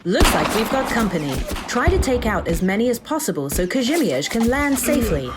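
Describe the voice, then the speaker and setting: A woman playing a calm, procedural AI assistant that guides a soldier through combat in a sci-fi audio drama. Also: mid-range voice, background noise. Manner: clipped, procedural, instructive announcements.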